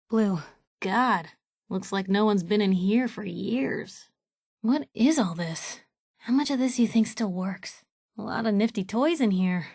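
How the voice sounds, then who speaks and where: A spunky young woman reporter as a video game companion, recorded close and dry. She talks in a wry, colloquial way, expressive and quick to wisecrack, with an earnest conscience underneath. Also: medium pitch, no room sound.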